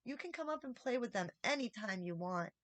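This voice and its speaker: A woman's voice: on the high side, expressive, a little fast, no room sound, lightly acted. A young girl from a storybook, voiced by a woman. She is friendly and candid, with an inviting manner. The sound is close and dry. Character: friendly, candid young girl who treasures her pets. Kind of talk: storybook character reading.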